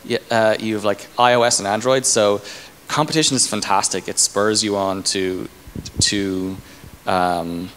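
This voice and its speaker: A man in his thirties with a fairly low voice speaks informally on a conference stage. His handheld mic picks up the hall's reverb and some room noise. He explains things in a loose, halting way. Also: steady tone, fairly bright tone. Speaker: tech company engineering leader being interviewed. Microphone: handheld microphone. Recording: echoey hall, clear background room noise.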